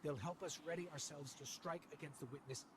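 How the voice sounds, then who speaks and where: A man voicing an earnest, brave little robot companion in a video game, speaking sincerely and a little haltingly. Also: a little high, noisy background, close to an everyday voice. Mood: earnest hope. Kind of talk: scripted dramatic game dialogue.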